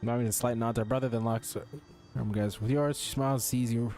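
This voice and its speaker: A man's voice: mid-range voice, steady tone, fast talker, a little mellow. A man giving casual, slangy, joking gaming commentary as he streams a story quest. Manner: slangy, joking, reads on-screen text aloud. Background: game music.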